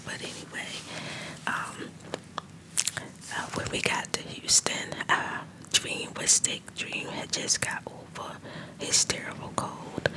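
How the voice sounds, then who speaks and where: A woman, getting over a cold, telling a rambling story in a fully unvoiced ASMR whisper. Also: low-quality recording. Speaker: ASMR channel host.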